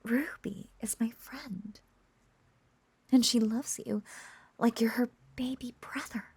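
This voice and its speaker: A woman voicing an obsessive, possessive witch in an intimate roleplay audio drama, cooing and coaxing in soft, breathy half-whispers. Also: on the high side, steady tone, slow, heavily acted. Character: lovesick yandere witch, obsessive, possessive, sweetly unhinged.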